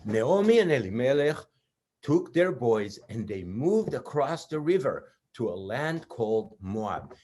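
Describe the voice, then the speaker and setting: A man narrating a children's puppet show as a storyteller, in simple, deliberate phrases. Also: medium pitch, sing-song, a little mellow.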